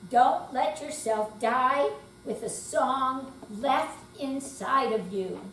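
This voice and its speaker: A woman's voice: high-pitched, takes it slowly, a bit bright. An older woman giving a dramatic stage reading as an amateur readers theater performer. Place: senior center hall, indoor live performance. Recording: distant, echoey room with faint hum.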